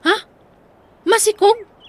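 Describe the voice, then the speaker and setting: A chatty woman played by an actress with a very high voice. She swaps family and village news in Ilocano radio drama style. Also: slow pace, no room sound. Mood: surprised.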